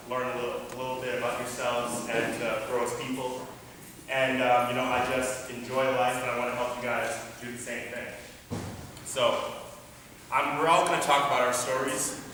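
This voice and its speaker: A man's voice: medium pitch, sharp tone. A young man from the Bahamas giving an informal motivational talk to students as a speaker and athlete. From Bahamas, moved to Toronto, Canada as a youth. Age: young adult. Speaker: motivational speaker, professional basketball player. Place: large indoor school gymnasium. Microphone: far camera in the audience. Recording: echoey gym, far-off camera mic, noisy.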